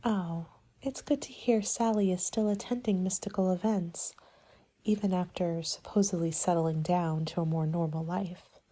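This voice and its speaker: A woman speaking softly in an ASMR roleplay as a party planning agent, polite and measured, sometimes slipping toward a whisper. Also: lowish voice, steady tone. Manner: polite, measured, businesslike consultant patter. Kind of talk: soft-spoken ASMR roleplay, partly whispered.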